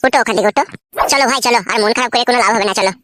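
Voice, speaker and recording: A man's voice: very high voice, rapid-fire, bright, forceful tone. A man voicing a generous, inquisitive village householder in a comic cartoon, insistent and chatty. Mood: sympathetic, insistent. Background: music.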